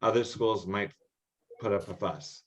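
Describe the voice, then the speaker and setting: An older man answering questions in an informal meeting, explaining rules in a halting, anecdotal way. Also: fairly low pitch, steady tone. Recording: dull, lo-fi online call audio.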